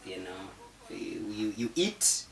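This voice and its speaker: A man's voice: low voice, lively intonation, takes it slowly, vocal fry, recorded from a distance, a little hiss or hum. A man in his twenties with a Zambian accent, telling his own story as a guest, repeating words and saying "you know". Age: 20s. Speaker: interview guest, leadership coach. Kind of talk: casual personal storytelling. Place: studio. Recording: echoey room, off-mic.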